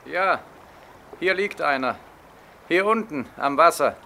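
Tense, bitter war returnee, higher-pitched. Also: male voice, animated, slow, gravelly, bright, forceful tone, slight background noise, exaggerated voice. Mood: sullen, weary. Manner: bitterly sarcastic, emphatic, repeating phrases. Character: bitter, limping young war returnee, cold and despairing. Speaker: actor in a radio play. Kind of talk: 1940s German radio play acting. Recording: vintage 1940s radio studio recording.

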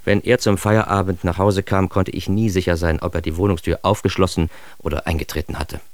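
A man's voice: low-pitched, level tone, fairly quick, dry recording, natural voice. An actor playing an ironic, self-important man who narrates his childhood in a radio drama, with wry, mock-grandiose emphasis. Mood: wry admiration. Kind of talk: scripted first-person radio drama narration.

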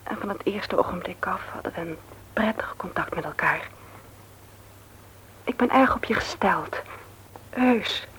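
An actress playing a gentle, tactful woman who is reluctant in love, in a radio drama. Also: mid-range voice, expressive, measured pace, long pauses, whispered, poor audio. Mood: gentle, serious. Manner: hesitant, tactful, careful phrasing. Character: gentle, reluctant woman turning down her suitor. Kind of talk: intimate radio drama dialogue.

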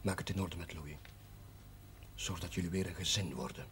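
An actor playing a kindly, persuasive village chaplain in a 1970s television drama, speaking in a measured, earnest way. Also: male voice, low-pitched, natural voice. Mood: solemn, pleading. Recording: noisy 1970s television soundtrack.

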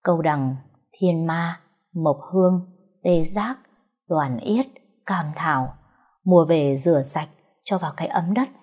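A sickly young master murmuring instructions in a weak, quiet voice, as a female storyteller puts him on. He sounds low and drained. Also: a little slow, pauses often, breathy edge.

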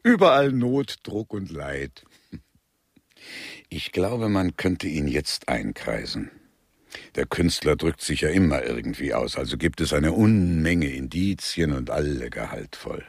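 An actor playing a brooding, methodical investigator with a gravelly, husky voice, reasoning dryly in a grotesque radio crime play. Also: male voice, very deep voice, animated, unhurried, dry recording, clean studio sound, heavily acted.

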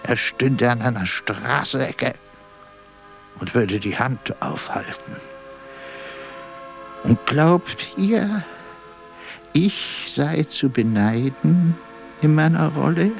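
Gravelly, slow-talking old vaudevillian. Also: male voice, mid-range voice, animated, faint music behind, low-quality recording. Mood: aggrieved, scolding. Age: older. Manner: theatrical, halting, breaking off and repeating himself. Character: faded old vaudeville actor, vain, rambling, forgetful, bitter. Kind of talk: radio drama monologue.

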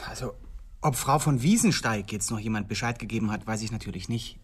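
A man's voice: on the low side, fairly quick, breathy edge. An actor playing an unhappy, slightly drunk man, subdued, in a crime radio drama. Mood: defensive, subdued.

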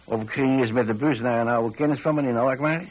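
A man's voice: mid-range voice, a little fast, lightly acted, low-quality recording. An actor playing a kindly older landlord in a radio monologue, chatty and hesitant as he rambles along. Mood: reminiscing. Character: kindly rambling old pensioner landlord, widower-like homebody.

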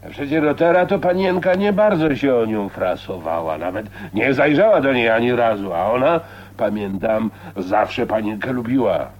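An actor playing a gruff, foul-mouthed old working man in a radio drama, grumbling earthily in rustic Polish dialect. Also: medium pitch, expressive, normal speed, lo-fi sound, lightly acted. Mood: scornful, grumbling.

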